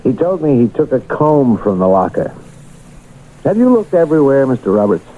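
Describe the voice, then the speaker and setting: An actor in a radio drama playing a paternal but suspicious boss who speaks with measured, probing authority. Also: male voice, medium pitch, very animated, lightly acted. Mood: placating. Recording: muffled old radio broadcast, faint noise.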